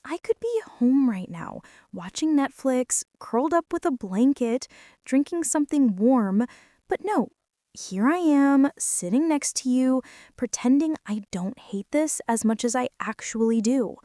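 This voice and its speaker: Woman acting a bratty, high-voiced teenage girl bully. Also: expressive, measured pace, warm tone, no echo, clean studio sound. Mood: sarcastic, annoyed. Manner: whiny, sarcastic, exasperated complaining with sighs. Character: bratty teenage girl bully, grumbling, secretly softening toward tentmate. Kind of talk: ASMR roleplay, acted monologue to the listener.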